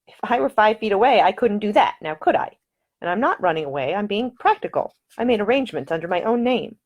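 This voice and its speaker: A woman voicing a spirited young woman traveler who talks in a brisk, quick-witted way. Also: mid-range voice, animated, pitched above the performer's own voice, close to an everyday voice.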